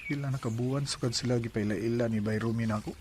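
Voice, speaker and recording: An actor with a Cebuano accent playing an earnest, smitten young suitor in a radio drama, speaking in an eager, heartfelt way. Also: male voice, mid-range voice, fairly even intonation, quick pace, noisy background, close to an everyday voice. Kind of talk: Bisaya radio drama acting.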